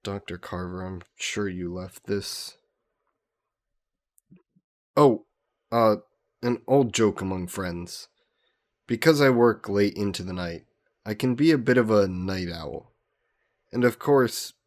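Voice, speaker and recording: Man playing a slow, low-voiced gentle vampire scholar. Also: natural voice. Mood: playful teasing. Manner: courteous, reflective, musing with hesitant pauses. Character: gentle, wistful, gently teasing immortal male vampire scholar. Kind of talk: intimate scripted audio roleplay.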